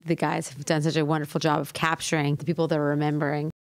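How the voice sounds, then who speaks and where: A woman's voice: deep voice, flat delivery, fast, no room sound. A woman speaking as an interviewee, explaining the work of her organization.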